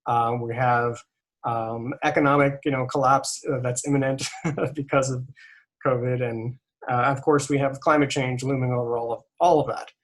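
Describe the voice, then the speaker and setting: A man hosting a candidate forum, speaking haltingly with many restarts and chuckling between points. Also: mid-range voice, steady tone. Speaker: forum host, moderator. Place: video call.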